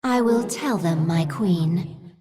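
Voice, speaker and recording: An actress playing a serene, dutiful alien adviser in a video game, formal and measured. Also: female voice, on the low side, warm tone. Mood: deferential.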